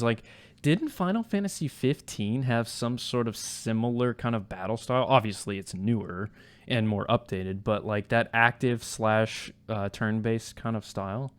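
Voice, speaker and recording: Man, mid-pitched voice, casual podcast co-host. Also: moderate pace, no room sound. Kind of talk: casual chat.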